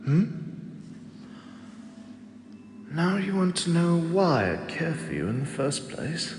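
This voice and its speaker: A man voicing an ancient male god who speaks softly and slowly, fond and gently teasing, as if to a mortal he protects. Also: on the high side, very animated, a little hiss or hum. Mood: soothing. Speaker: voice actor. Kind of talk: audio drama monologue. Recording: echoey with long reverb and clear ambience.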